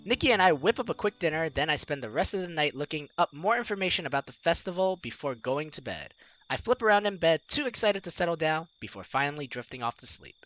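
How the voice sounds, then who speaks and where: A man's voice: on the high side, big pitch swings, quick pace, fairly bright tone, no echo, muffled recording. A young man playfully reading a visual novel aloud and commenting on it as a let's play host, with game music behind him. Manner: playful, theatrical, jokey reactions.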